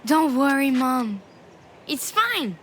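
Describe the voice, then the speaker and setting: A woman playing a young daughter returned from the dead, cheeky, calm and matter-of-fact, in a video game. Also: high voice, slow pace, slight background noise, full character voice. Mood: reassuring.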